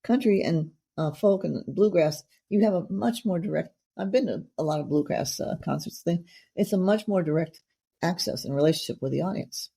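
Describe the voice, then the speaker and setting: A woman's voice: fairly low pitch, normal speed, gravelly, warm tone, breathy edge. A middle-aged woman hosting a casual interview, chatty and effusive, rambling from one thought to the next. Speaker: podcast host.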